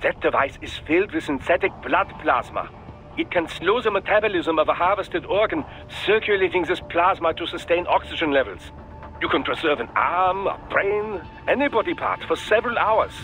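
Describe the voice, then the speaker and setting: A precise old scientist in a game cutscene. He talks formally and carefully in a rather high, bright voice over faint music. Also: lively intonation, heavily acted, poor audio. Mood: clinical. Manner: formal, measured explaining.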